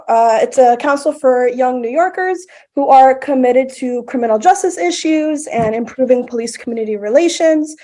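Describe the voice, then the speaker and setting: A woman's voice: on the high side, a little mellow. A young woman from a city agency giving a short, friendly announcement at a public meeting, with frequent ums. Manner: friendly, casual, lots of ums. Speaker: government agency representative. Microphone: video call.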